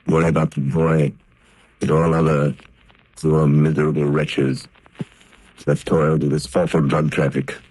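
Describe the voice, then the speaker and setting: An actor playing a calm, determined gentleman crime-fighter who speaks in a measured, steady way in the style of 1930s radio drama. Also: rumbling low, breathy edge, no room sound, exaggerated voice.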